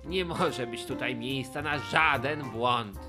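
Casting: a man to play a dramatic perfectionist crocodile, anxious and halting, slow delivery over music, for a kids' story. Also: medium pitch, natural voice. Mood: proud, earnest. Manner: fretful, halting, theatrical. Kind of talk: children's audio fairy tale acting.

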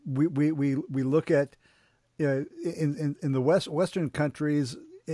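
A man hosting an interview, talking in a halting, searching way with many restarts. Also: mid-range voice, darkish tone, dry recording, studio quality. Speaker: interview host. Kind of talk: conversational interview.

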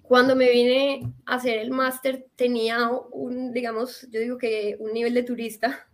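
A woman's voice: fairly high pitch, normal speed. A woman from Colombia with a Colombian accent, a guest recounting her experience in a casual interview over a video call, talking with many fillers and restarts. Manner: animated storytelling with fillers and restarts.

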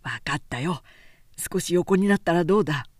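A woman's voice: on the low side, lively intonation, normal speed, breathy edge, no room sound, studio quality, voice pushed down. A woman reading a story, voicing a worried, devoted young working man with blunt masculine speech.

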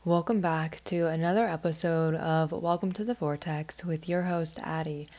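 A woman's voice: low voice, steady tone, normal speed, a little mellow. A woman hosting an astrology podcast in a chatty, rambling monologue, sounding stuffed up as she gets over the flu. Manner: rambling and chatty, with many "like" fillers.

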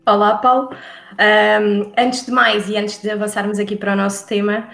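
A woman's voice: medium pitch, little pitch movement, moderate pace, vocal fry, fairly bright tone, soft background music. Portuguese woman host, echoey sound. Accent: European Portuguese. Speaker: podcast co-host. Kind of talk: podcast interview.

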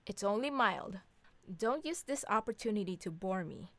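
A woman's voice: medium pitch, lively intonation, normal speed, a bit bright, no room sound. A woman playing a sarcastic young mother who needles her partner with dry mockery in an audio drama. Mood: mocking. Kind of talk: two-hander audio drama reading.

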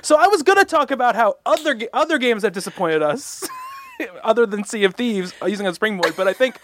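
A podcast host from Long Island, with a voice pitched in the middle range, chats casually. The delivery is loose and rambling, with frequent laughs and restarts. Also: lively intonation, brisk, a bit bright, a little hiss or hum. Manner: laughing, rambling, self-interrupting. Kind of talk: casual chat.

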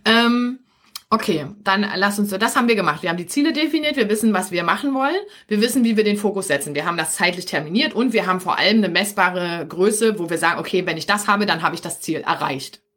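A woman's voice: medium pitch, expressive, quick pace, a bit bright. A woman with a Berlin accent giving a live-streamed coaching talk, chatty and direct, often checking in with viewers. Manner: chatty and direct, tags points with "okay?". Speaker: business coach.

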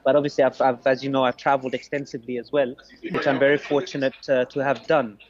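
A man in his forties from Bangladesh, a podcast guest telling his story in a relaxed, hesitant way. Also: mid-range voice, fast, a crowd in the background. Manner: hesitant, restarts, many "you know"s. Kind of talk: casual interview answers. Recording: lo-fi muffled sound.

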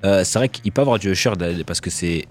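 Young guy designer, deep-ish voice, rattles on fast, lots of restarts. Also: faint music behind. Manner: relaxed, restarts a lot, peppers speech with "tu vois". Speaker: fashion designer guest. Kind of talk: casual interview chat.

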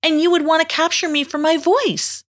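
A woman's voice: extremely high pitch, fairly even intonation, normal speed, fairly bright tone, pitched above the performer's own voice. A woman voicing a sweet, anxious young girl in a storybook, with an earnest, pleading manner.

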